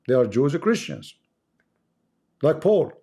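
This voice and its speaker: A man's voice: medium pitch, very animated, a little slow, mellow, husky. A man from the Middle East whose first language is Arabic, speaking English with an Arabic accent as he delivers an impassioned religious monologue on his livestream. He talks emphatically and preaches, repeating phrases for effect. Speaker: livestream host.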